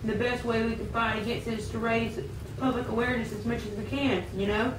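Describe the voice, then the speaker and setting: Woman acting an earnest old-time man, highish, echoey. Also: flat delivery, fast, natural voice. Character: earnest 19th-century American man, farmer or reformer. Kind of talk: amateur scripted puppet show. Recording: echoey room, distant mic, clear noise.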